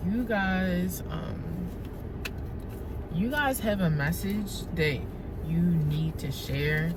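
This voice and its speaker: A woman's voice: deep voice, heavy background noise. A woman giving a casual, wandering tarot reading, speaking straight to her viewers as "you guys". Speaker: tarot reader.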